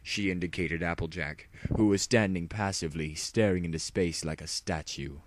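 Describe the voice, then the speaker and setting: A man's voice: sits low, normal speed, background noise. A man narrating a dramatic spoof audiobook in a theatrical, hammy storytelling manner.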